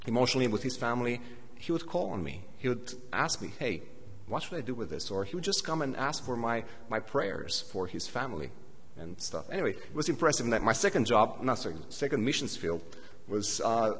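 Nervous pastor, husky voice. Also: male voice, mid-range voice, a bit bright, big-room sound. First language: Romanian. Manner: admits to nervousness, halting with many fillers. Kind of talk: sermon with personal testimony and prayer.

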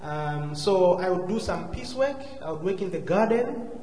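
A man with a southern African accent giving a personal testimony as a guest speaker, courteous, measured and hesitant. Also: sits high, lively intonation, warm tone. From Zambia and Malawi. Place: large church hall, live before a congregation. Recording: echoey hall, faint noise.

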